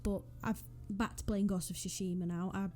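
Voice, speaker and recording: A young woman with a Northwest English accent talks into a desk mic in a mellow, warm tone. She chats in a loose, rambling way with self-deprecating asides, at a mid pitch. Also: steady tone, moderate pace. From northwest England. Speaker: Sims YouTuber. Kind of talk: casual voice-over chat over a speed build. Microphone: USB desk microphone.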